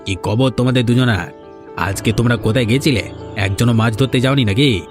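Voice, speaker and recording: A man's voice: mid-range voice, brisk, music in the background, natural voice. A man playing a nosy, menacing village busybody in a cartoon folk tale. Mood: prying, threatening. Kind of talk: animated folk-tale dialogue.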